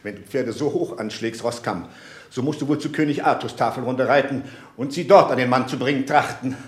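A man's voice: mid-range voice, brisk, breathy edge, no echo, close to an everyday voice. An actor playing a haughty, sneering man of the castle in a German radio play, with an imperious manner. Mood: mocking. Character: haughty, sneering male castle official or young nobleman.

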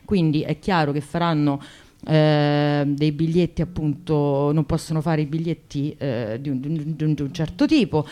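A woman talking spontaneously on the radio about a protest, often halting and searching for words. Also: deep voice, steady tone, warm tone. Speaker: activist guest, singer.

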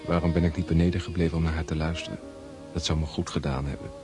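A man's voice: a bass voice, flat delivery, quick pace, music in the background. An actor playing a wistful, brooding nobleman thinking aloud in a radio drama monologue.

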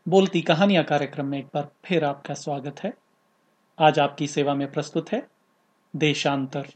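A man with a slightly husky voice, narrating a scripted short story as a podcast host. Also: fairly high pitch, steady tone, normal speed. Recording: slightly dull, lo-fi podcast audio.

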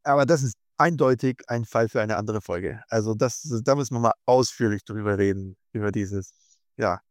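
A man's voice: fairly low pitch, normal speed, no room sound, studio quality. A man hosting a podcast interview, asking listener questions hesitantly with many fillers. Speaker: podcast host.

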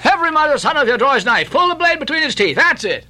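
A man performs a rascally, jolly whaling mate in a sea-novel audiobook. He drawls coaxingly, then scolds his oarsmen in fun, with a high, bright voice that leaps around in pitch. The recording is dry. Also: big pitch swings, fast talker, exaggerated voice. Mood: jolly scolding. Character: wheedling, rascally, jolly second mate urging his whaleboat crew. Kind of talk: audiobook character acting.

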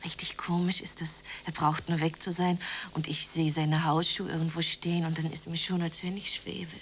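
An actress playing a lovestruck, hopeful and quietly defiant working woman in a radio play, speaking plainly with dry retorts. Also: sits low, flat delivery, moderate pace, a little hiss or hum, close to an everyday voice. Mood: dreamy, hopeful. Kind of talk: naturalistic radio play dialogue.

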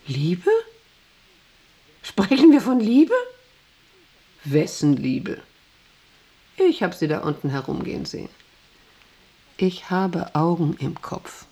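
An actress playing a proud, volatile factory boss in a radio drama, imperious and intense, obsessed with power. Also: female voice, deep voice, slow pace, pauses often, husky, full character voice. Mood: scornful, urgent. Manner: imperious, intense, swinging between hushed and vehement. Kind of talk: German radio drama acting.

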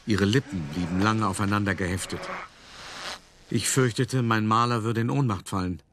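A man playing a shrewd, worldly art dealer who recounts his past in a radio drama, in a wry, measured, conversational way. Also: fairly low pitch, noisy background, natural voice. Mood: confiding. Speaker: narrator. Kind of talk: radio drama monologue, first-person storytelling.

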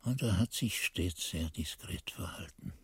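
A man's voice: low voice, unhurried, husky, dry recording, exaggerated voice. An actor playing a monk who recalls his youth in a radio drama, narrating in a solemn, ardent, literary manner. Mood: wistful. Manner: expressive, solemn, literary delivery. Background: music.

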